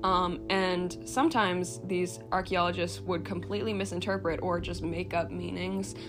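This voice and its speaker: A young woman with a stuffy, sniffly nose talks casually to camera, her voice sitting a little low. She fills her talk with ums, sarcastic asides and the odd swear word. Music plays clearly underneath. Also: level tone, moderate pace. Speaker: tarot reader and video creator.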